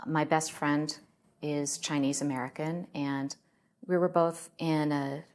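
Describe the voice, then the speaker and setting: Low-pitched woman recounting a memory. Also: flat delivery, slow pace, over loud music. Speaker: documentary interviewee. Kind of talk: vivid personal storytelling.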